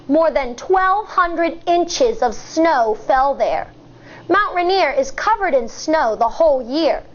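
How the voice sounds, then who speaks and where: A woman, an elementary school teacher, reading a nonfiction picture book aloud to young children in a deliberate, explanatory way. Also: high-pitched. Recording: dull, muffled lo-fi sound.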